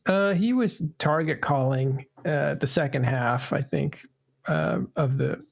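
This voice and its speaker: Gravelly man hosting a panel chat. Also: a little high, takes it slowly. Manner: rambling, self-correcting. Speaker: podcast host.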